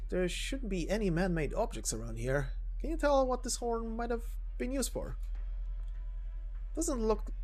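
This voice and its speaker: A man's voice: high voice, darkish tone, husky, full character voice. A man voicing wary soldiers on a frozen border patrol in a game story, with terse, questioning military talk.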